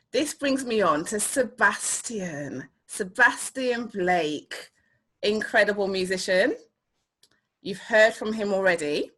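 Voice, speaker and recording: A woman's voice: mid-range voice, fairly bright tone. A woman hosting a casual, chatty arts interview, gushing with praise as she talks. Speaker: show host.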